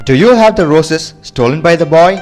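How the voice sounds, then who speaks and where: A man's voice: fairly high pitch, lively intonation, normal speed, a little mellow, faint music behind, natural voice. A man voicing a middle-aged king who gives formal, regal commands. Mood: stern.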